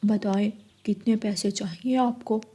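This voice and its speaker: A woman's voice: medium pitch, steady tone, a little slow, warm tone, natural voice. A woman voicing an eager, polite young male groom bird in a cartoon, earnest and obliging.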